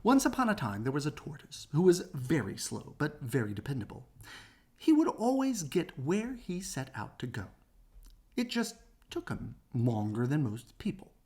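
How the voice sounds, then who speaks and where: A woman reading a children's story aloud in an animated, husky narrating voice. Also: low voice, moderate pace. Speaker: storybook reader.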